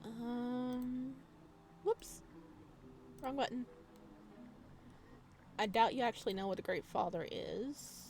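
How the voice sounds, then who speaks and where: A woman doing a video game let's play, reading game text aloud with expressive drama and adding casual asides. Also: on the high side, slow, pauses often, a little hiss or hum. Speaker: gaming video creator.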